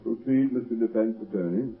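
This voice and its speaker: An actor playing a stern, authoritative trial judge in 1930s radio style. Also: male voice, mid-range voice, brisk, soft background music, lightly acted. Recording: tinny, phone-like old radio recording.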